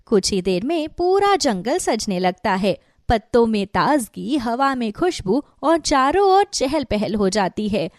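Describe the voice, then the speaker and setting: A woman narrator reads a scripted children's story. Her voice is fairly high and very animated. The recording is dry. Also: measured pace, hardly any pauses, dry recording.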